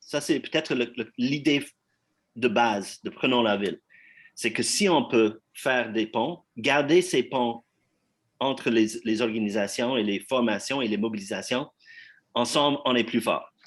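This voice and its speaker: An anglophone man introduces a community conference evening. He speaks haltingly, restarting phrases and filling gaps, in a mid-pitched everyday voice. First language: English. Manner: halting, many fillers and restarts. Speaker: event host.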